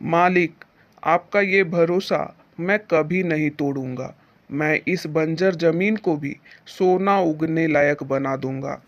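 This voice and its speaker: A man's voice: on the high side, takes it slowly, highs cut off. A man voicing a humble, earnest poor farmer in a moral-story cartoon, speaking respectfully and with feeling. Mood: earnest, determined. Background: background music.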